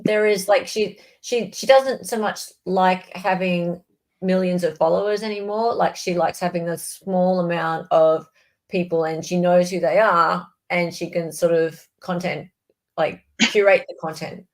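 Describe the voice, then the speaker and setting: A woman's voice: on the low side, fairly even intonation, unhurried. A woman chatting casually as host of a livestream.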